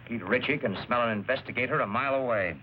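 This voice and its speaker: A man's voice: medium pitch, fast, close to an everyday voice. An actor playing a curt, world-weary narcotics investigator in a film noir crime drama, clipped and commanding, hesitating over his questions. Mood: weary, deliberating. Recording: muffled narrow-band old recording, faint noise.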